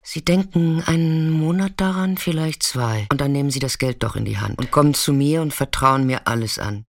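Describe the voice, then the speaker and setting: A man acting in a radio play as a weathered, fearless narrator, speaking in an understated, intimate way with hushed moments. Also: on the high side, moderate pace, no room sound, close to an everyday voice. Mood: matter-of-fact, confiding.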